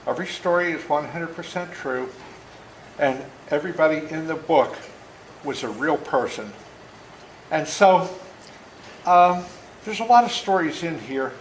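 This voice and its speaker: A man in his seventies with a slightly high-pitched voice tells stories to children. He is plain-spoken and deliberate, like an author reading aloud to a young group. The room is echoey, with faint noise behind him. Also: lively intonation. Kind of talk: storytelling talk and read-aloud to children.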